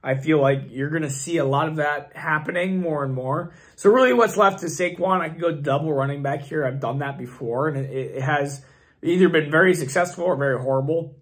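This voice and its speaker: Hoarse man thinking aloud. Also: fairly high pitch, fairly quick. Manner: rambling, thinking aloud with many fillers. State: hoarse, losing his voice. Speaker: fantasy football channel host. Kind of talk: casual screen-share commentary.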